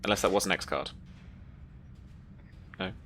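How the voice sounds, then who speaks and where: A game master running a tabletop roleplaying game, explaining rules step by step in a relaxed, informal way. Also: low-pitched, a little slow, a little hiss or hum.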